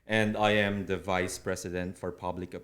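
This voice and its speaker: A man with a Filipino accent, a native Tagalog speaker, explaining things earnestly as an interview guest in deliberate, formal phrasing. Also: low voice, little pitch movement, fairly bright tone. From Philippines. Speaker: interview guest, public speaking club officer.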